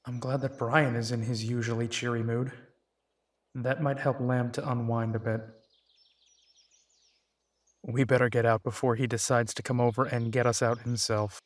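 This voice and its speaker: A man playing a gentle, dry-humored werewolf private eye and younger brother in an immersive roleplay audio drama, speaking softly and wryly. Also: mid-range voice, little pitch movement, normal speed, long pauses, no room sound, lightly acted.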